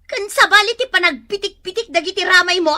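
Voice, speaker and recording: An actress in a radio drama playing a fussy middle-aged mother with an Ilocano accent who calls out loudly and exclaims often. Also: very high voice, a little fast.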